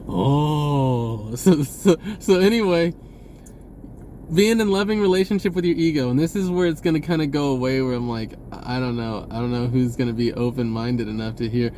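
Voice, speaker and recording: A man's voice: medium pitch, normal speed, warm tone, a little hiss or hum. A man talking in a casual, rambling, informal monologue with folksy wording, often correcting himself as a spiritual vlogger.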